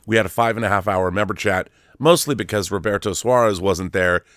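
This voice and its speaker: A man's voice: lowish voice, fairly quick, fairly bright tone, no echo, clean studio sound. A middle-aged man hosting a film channel, talking casually off the cuff in a rambling, emphatic storytelling way and often correcting himself. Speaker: host of a film discussion channel. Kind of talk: casual unscripted monologue to viewers.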